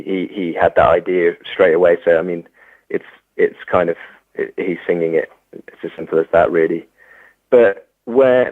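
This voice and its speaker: A man's voice: low-pitched, no room sound. A young English man, a musician, talking hesitantly in an interview with many fillers and restarts. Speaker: musician guest. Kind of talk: casual interview. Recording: tinny phone-like sound.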